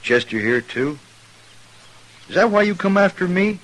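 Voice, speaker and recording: An actor playing a plain-spoken, restless American frontier drifter in a western radio drama, with folksy cowboy talk. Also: male voice, high voice, animated, a little slow, fairly bright tone, rough recording. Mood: defensive. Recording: hissy old-time radio recording.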